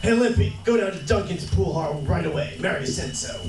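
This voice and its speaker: A man's voice: on the high side, animated, a little fast, close to an everyday voice. A man playing a street messenger passing along word in a radio crime drama. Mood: urgent. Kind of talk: live comic reading of an old-time radio crime drama. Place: convention panel room. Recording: echoey room, distant mic, crowd sounds.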